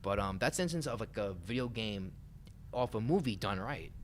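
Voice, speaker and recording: A man's voice: fairly low pitch, lively intonation, moderate pace. A man talking casually and with opinions as a panelist in an informal podcast discussion. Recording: clear background noise.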